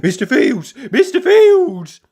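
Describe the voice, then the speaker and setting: A storyteller voicing a muddled, forgetful little man who exclaims loudly in a raised, slowed voice. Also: medium pitch, animated, clear, resonant tone. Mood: flustered. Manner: loud, slow, exclaiming in a raised voice. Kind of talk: storybook character voice.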